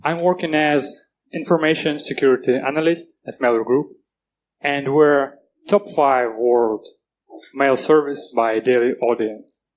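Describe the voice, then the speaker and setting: A man's voice: mid-range voice, poor audio. Russian-accented man giving a security talk. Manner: earnest, plain-spoken, halting restarts. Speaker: conference speaker. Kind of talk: technical conference talk. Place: conference stage.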